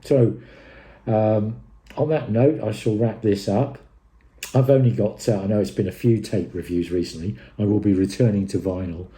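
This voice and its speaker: A man with a low-pitched, very mellow and relaxed voice reviews music off the cuff. He is enthusiastic but hesitant, rambling his way through his thoughts as he talks casually to camera. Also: normal speed.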